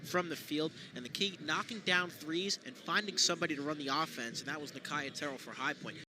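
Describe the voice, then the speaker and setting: A man with a slightly high voice gives quick, conversational basketball analysis. There is clear venue noise behind him as he talks. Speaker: basketball broadcast commentator. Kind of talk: conversational sports commentary and analysis.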